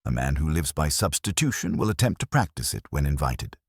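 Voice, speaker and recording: A man voicing a grave, imperious male master detective in an audiobook, formal and deliberate in his pronouncements. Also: rumbling low, big pitch swings, husky, no echo, clean studio sound, exaggerated voice. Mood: pedantic, deliberate. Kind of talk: audiobook character dialogue.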